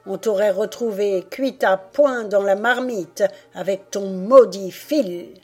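A woman's voice: mid-range voice, takes it slowly, darkish tone, soft background music. A woman voicing a furious old witch in a storybook tale, grating and commanding. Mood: menacing regret. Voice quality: grating, screeching. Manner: commanding, impatient, menacing. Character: furious shrieking old witch, night washerwoman from the marsh.

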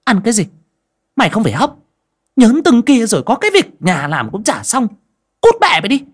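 A man's voice: very high voice, wildly swooping pitch, fairly quick, husky, pitched above the performer's own voice, exaggerated voice. A man voicing a vicious, bullying stepmother in a story, snarling and scolding coarsely. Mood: furious.

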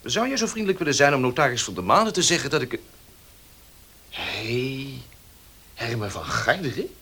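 An actor playing a brisk, forthright young gentleman in a Dutch radio play. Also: medium pitch, slightly rough, heavily acted. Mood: surprised, animated. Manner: animated, forthright. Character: brisk, surprised young gentleman, old acquaintance.

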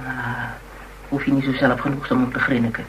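A woman's voice: very deep voice, little pitch movement, fairly quick, rough recording. Feisty, blunt woman. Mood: rattled, irritated. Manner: colloquial, blunt, wry. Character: feisty, stubborn woman facing down a gang. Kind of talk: radio drama acting. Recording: old radio play, background noise.

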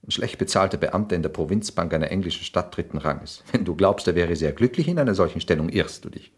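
A male actor playing a cynical upper-class lord in a radio play, with polished, measured, condescending delivery. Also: sits low, animated, breathy edge. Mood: cold, self-assured. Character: suave, cynical upper-class English lord, cool and self-assured. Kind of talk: 1960s German radio play acting.

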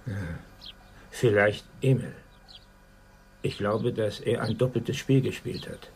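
An actor playing a reluctant older man, guarded and measured as he recalls a buried past, in a German radio drama. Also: on the low side, steady tone, creaky, slight background noise. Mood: reflective.

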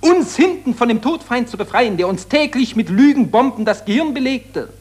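Impassioned, high-pitched, husky accuser of warmongers. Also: male voice, animated, normal speed. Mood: indignant accusation. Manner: declamatory, solemn, rhetorical. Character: impassioned male satirist accusing warmongers and summoning the war dead. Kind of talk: 1940s German radio drama declamation. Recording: 1940s radio recording.

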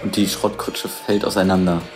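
A man's voice: low voice, level tone, normal speed, a little mellow. A man informally describing a scene as it unfolds, hesitantly piecing the story together. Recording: music behind the voice.

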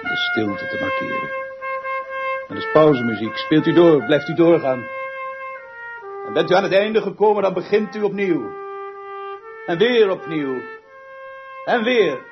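A man's voice: on the high side, wildly swooping pitch, measured pace, pauses often, full character voice. A man in a radio drama playing a pompous, obsessive music director who declaims grandly and repeats words for emphasis. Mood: wistful, brooding, then commanding. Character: pompous, obsessive, theatrical male music director, haunted by lost half-sister. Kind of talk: theatrical radio drama acting. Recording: dull lo-fi radio recording with music.

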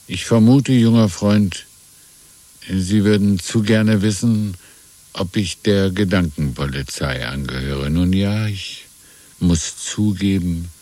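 An actor playing a sly old landlord and informer in a radio drama, husky and unctuous, with menacing mock politeness. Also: male voice, low voice, a little mellow, full character voice. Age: older. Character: sly old landlord, secret thought-police informer, falsely courteous. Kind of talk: 1970s German radio drama acting.

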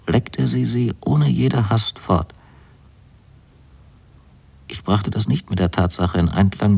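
An actor playing a naive, wryly fastidious son in a radio drama, telling of his new life in measured, precise, deliberate phrases. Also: on the low side, mellow, slightly rough, dry recording, full character voice. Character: young man writing letters to his mother, wryly fastidious and naive. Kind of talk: literary radio drama monologue.

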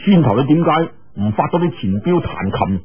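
A man's voice: fairly high pitch, very animated, fairly quick, husky, narrow, tinny audio. A man with a Cantonese accent voicing a carefree, teasing young swordsman hero in a martial-arts audiobook, bantering colloquially.

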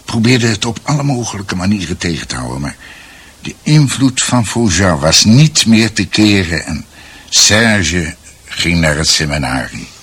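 A reflective storyteller in a radio play, very deep, slow and raspy. He narrates conversationally, pausing to find his words. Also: very animated, a bit bright, full character voice. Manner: deliberate, searching for words. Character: thoughtful male storyteller recounting family history.

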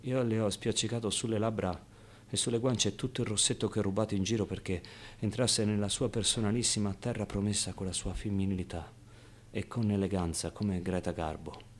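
An actor playing a streetwise, wistful orphan boy in a halting, emotional theatrical monologue. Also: on the low side, fairly even intonation, close to an everyday voice. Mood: defensive, grieving. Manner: halting, emotional, swinging from outbursts to hushed confiding. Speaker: stage actor.

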